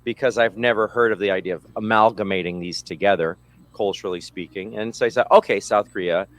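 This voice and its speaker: A man's voice: lowish voice, quick pace, a bit bright. A middle-aged man, telling a story in a reflective way. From United States. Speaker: interviewee, English teacher.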